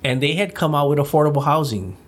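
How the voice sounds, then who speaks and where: A man's voice: on the high side, quick pace. A middle-aged man from Hawaii, a community group's director, talking casually as a studio guest with many fillers and restarts.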